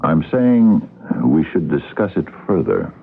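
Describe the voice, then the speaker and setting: An actor playing a dogged, wry police captain in a radio mystery, with a gravelly voice and a dry, hesitant, probing manner. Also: male voice, very deep voice, big pitch swings, a little slow, faint music behind, exaggerated voice. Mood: musing. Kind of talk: radio mystery drama acting. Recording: muffled lo-fi old radio broadcast.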